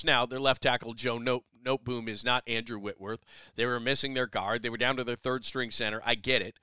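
A man hosting a sports podcast, slightly hoarse, firing off quick opinions with self-mocking asides. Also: mid-range voice, pushed and bright, no echo. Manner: brash, rapid-fire opinions with self-deprecating asides. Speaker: sports radio host. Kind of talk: solo sports podcast commentary.

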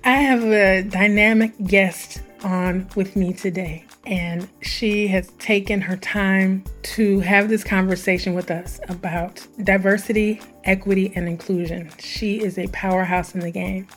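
Woman, podcast host, hoarse, scratchy, animated, conversational, faint background music. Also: mid-range voice. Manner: animated, conversational, drawn-out emphasis. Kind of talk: solo podcast intro.

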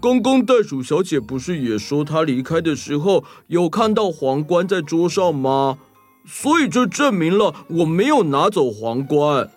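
An earnest, touchy gentleman turtle voiced by a man from a children's mystery tale. The pitch sits fairly high and moves up and down a lot. Light music runs behind the voice. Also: very animated, a little slow. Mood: indignant, defensive. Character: indignant male green sea turtle who loves singing.

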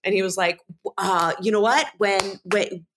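A woman's voice: mid-range voice, steady tone, measured pace, background noise. Woman telling a rambling story. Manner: laughing, rambling anecdote. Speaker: guest. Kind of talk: casual storytelling.

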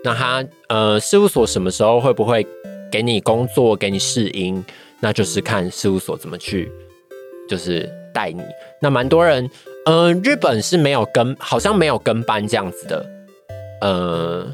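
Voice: mid-range voice, lively intonation, normal speed, faint music behind. A young adult with a Taiwanese accent, answering as a podcast guest in a careful, thoughtful, casual way. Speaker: guest, voice-acting trainee.

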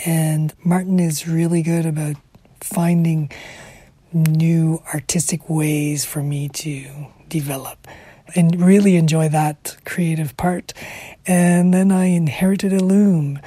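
A middle-aged woman with a damaged, whispery voice, speaking reflectively in short, halting phrases in an interview. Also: deep voice, steady tone, mellow, husky, no room sound. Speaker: interviewee, former teacher.

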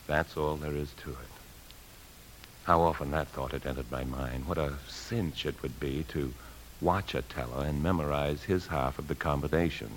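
An actor playing a weary, tempted bank manager who narrates in a measured, introspective way in a 1950s radio drama. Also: male voice, extremely low pitch, steady tone, a little hiss or hum, low-quality recording. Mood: casual, instructive. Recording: 1950s radio broadcast recording.

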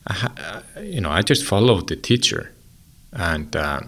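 A man's voice: low-pitched, very animated, normal speed, fairly bright tone, breathy edge. A man in his thirties from Iceland with an Icelandic accent, a native Icelandic speaker answering interview questions in English, hesitant with many fillers and restarts. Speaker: interview guest. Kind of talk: casual interview.

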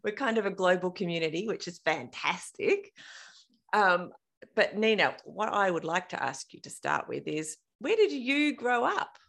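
A woman hosting a running podcast, chatting in a bubbly, animated way and laughing often. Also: lowish voice, normal speed, creaky. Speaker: podcast host. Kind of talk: casual interview chat.

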